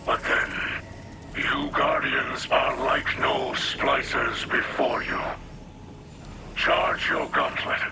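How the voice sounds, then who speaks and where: A man voicing a solemn, reverent alien mentor in a video game, with a breathy, whispery voice and grave, formal, measured delivery. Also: medium pitch, close to an everyday voice. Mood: urgent, pleading. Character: solemn, reverent male alien splicer mentor, weary and hopeful. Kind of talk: dramatic video game dialogue.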